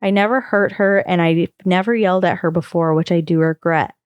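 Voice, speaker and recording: Female podcast reader, low and smooth, steady measured storytelling delivery. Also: dry recording, muffled recording. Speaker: podcast co-host reading a story. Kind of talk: reading a first-person online post aloud.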